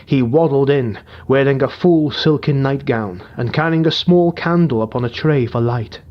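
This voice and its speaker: A man's voice: medium pitch, lo-fi sound. Man narrating a somber fantasy tale. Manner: measured, somber storytelling. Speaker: audiobook narrator.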